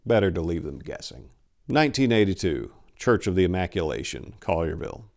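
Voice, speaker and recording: A man in his forties reading a story aloud in a theatrical, deliberate, scripted narrating style. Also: low-pitched, sing-song, creaky, darkish tone. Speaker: host, author reading own short story. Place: indoors, book-lined room. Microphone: lapel mic.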